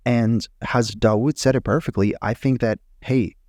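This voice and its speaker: A man's voice: medium pitch, fairly even intonation, normal speed, darkish tone, no room sound, clean studio sound. A man from Afghanistan who moved to Canada as a child, co-hosting a podcast with earnest, slangy, emphatic and impassioned casual talk. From Afghanistan, moved to Canada at almost ten. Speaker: podcast co-host.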